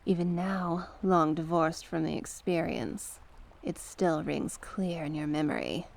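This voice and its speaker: A woman plays a mysterious sea witch for an ASMR fantasy roleplay. The voice is on the low side and full of gravelly fry, moving very slowly. The witch coaxes and encourages with soothing, formal storybook care, softening at times toward a whisper. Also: lively intonation, full character voice. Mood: calm, instructive. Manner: gentle, coaxing, formal storybook phrasing. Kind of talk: ASMR roleplay, slow scripted monologue.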